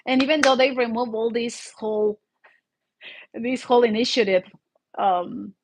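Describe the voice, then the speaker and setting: A woman's voice: fairly high pitch, a little slow, rough recording. Mexican-accented woman in a rambling chatty vlog. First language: Spanish. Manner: rambling, conversational, seeks agreement with "right?". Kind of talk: informal solo vlog commentary.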